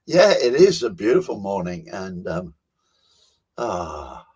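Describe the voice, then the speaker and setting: An older man in his seventies speaks in a very low, husky voice. He talks slowly and thoughtfully on a video call and leaves room for pauses and quiet laughs. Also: very animated. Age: 70s. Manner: unhurried, musing, with soft laughs and pauses. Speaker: co-host. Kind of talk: reflective personal storytelling.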